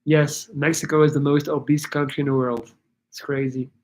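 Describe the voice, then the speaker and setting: A man from Belgium chatting casually on a livestream, answering viewer questions in a rambling, halting way with many restarts. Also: a little high, level tone. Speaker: YouTuber answering live chat.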